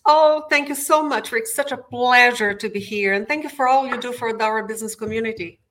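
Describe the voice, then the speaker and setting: A woman's voice: on the high side, fairly quick, fairly bright tone. Brazilian woman telling her career story. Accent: Brazilian Portuguese. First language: Portuguese. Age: middle-aged. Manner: enthusiastic storyteller. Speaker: guest. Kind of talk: interview conversation. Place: home office.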